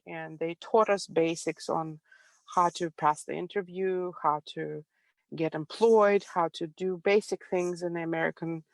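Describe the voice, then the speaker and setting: Calm low-voiced woman with a Russian accent. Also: no room sound. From Ukraine. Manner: calm, reflective, soft laughs. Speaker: guest. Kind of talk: interview storytelling.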